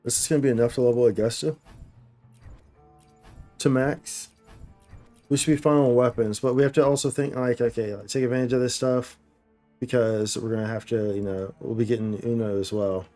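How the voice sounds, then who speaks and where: A man's voice: medium pitch, fairly even intonation, a little fast, long pauses, mellow. A man doing casual live gameplay commentary, thinking aloud in slangy, repetitive phrases. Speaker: game livestreamer. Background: faint game music.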